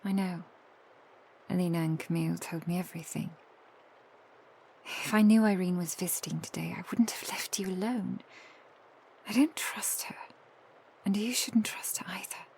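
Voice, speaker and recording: A woman voicing a protective, tender vampire girlfriend in an ASMR roleplay, soft, intimate and gently teasing. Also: on the low side, normal speed, creaky, pauses often, slight background noise, low-quality recording.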